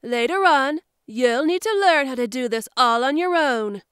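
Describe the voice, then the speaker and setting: Woman acting a high, lively, teasing bat lady. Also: normal speed, clear, resonant tone, no echo, studio quality. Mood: coaxing, reassuring. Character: flirty, bossy, caring adult female bat. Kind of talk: fan audio drama acting.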